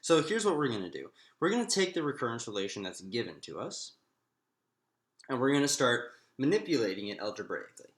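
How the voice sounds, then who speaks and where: A man giving an informal math lecture, thinking aloud in a halting way with drawn-out pauses. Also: mid-range voice, sing-song. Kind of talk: informal lecture, working through a derivation aloud. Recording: roomy, echoing room sound.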